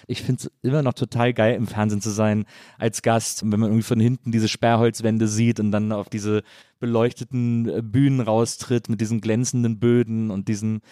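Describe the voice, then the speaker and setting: A man's voice: lowish voice, flat delivery, normal speed, warm tone, dry recording, studio quality. A man hosting a casual interview, speaking informally in long, rambling, enthusiastic sentences. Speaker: podcast host, interviewer.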